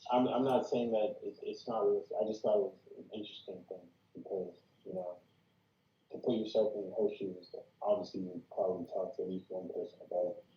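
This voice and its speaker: A man with a deep voice shares casual workshop feedback. He hedges and explains as he goes, and the recording is dull with a noticeable room echo. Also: fairly even intonation, a little fast. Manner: hedging, explanatory. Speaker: workshop participant giving feedback. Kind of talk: casual discussion. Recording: dull, roomy, echoing sound.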